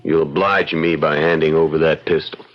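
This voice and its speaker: An actor playing a principled, stubborn young American frontier lawyer in a radio drama, formal and firm. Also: male voice, rumbling low, level tone, moderate pace. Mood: firm, challenging. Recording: muffled old radio broadcast.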